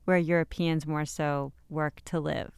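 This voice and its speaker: A woman's voice: low voice, flat delivery, a little slow, creaky, a little mellow, smooth. A woman hosting a podcast interview, affirming and encouraging her guest with small acknowledgements. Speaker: podcast host. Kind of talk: interview conversation.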